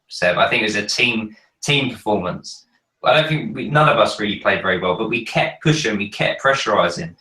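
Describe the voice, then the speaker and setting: A man with a croaky, cold-hoarsened voice, chatting casually with many ums on a fan podcast. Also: on the low side, pushed and bright, reverberant, distant mic, poor audio. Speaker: podcast panelist. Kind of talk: casual chat.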